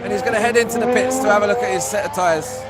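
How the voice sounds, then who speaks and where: A man chatting casually outdoors at a race circuit, giving relaxed commentary on the cars and reading out viewer comments. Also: very high voice, very fast, a loud crowd. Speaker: vlogger commenting on practice session. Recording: loud outdoor traffic noise. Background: race cars passing.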